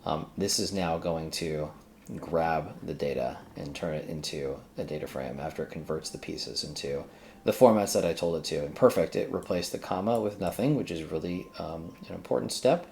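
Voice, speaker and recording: Low gravelly voice, chatty coding tutorial host thinking out loud, bit of noise n typing behind. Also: moderate pace. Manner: informal, exclamatory asides to self and software. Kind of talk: casual screen-recorded coding tutorial, thinking aloud. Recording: faint background noise, keyboard typing.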